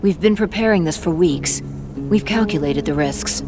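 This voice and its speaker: An actress playing a confident, affectionate wife and mother in a video game, self-assured and gently chiding. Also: on the low side, moderate pace, music in the background, natural voice. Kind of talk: video game cutscene acting.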